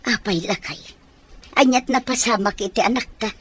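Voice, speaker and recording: A woman's voice: a little high, moderate pace, husky. A woman playing a concerned mother and wife in an audio drama, questioning and exclaiming as she speaks. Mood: concerned, motherly.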